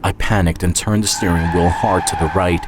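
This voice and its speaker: A man's voice: low-pitched, fairly even intonation, normal speed, very noisy. A man reading a scripted first-person dramatic story as its author and narrator. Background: music.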